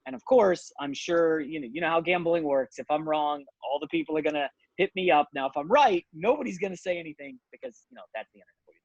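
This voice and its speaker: A man's voice: fairly high pitch, expressive, fast talker, dry recording, lo-fi sound. A man giving blunt, casual sports and betting analysis as an interview guest, speaking conversationally.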